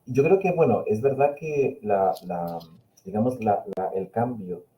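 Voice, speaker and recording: Man, Catalan native, Spanish accent with Chilean touches, very dark mellow tone, lowish voice, thoughtful, hesitant. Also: measured pace, low-quality recording. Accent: Peninsular Spanish mixed with Chilean Spanish. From Spain. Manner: reflective, hesitant, with many fillers and restarts. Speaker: invited speaker, university linguistics professor. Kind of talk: webinar talk answering a question. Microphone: video call.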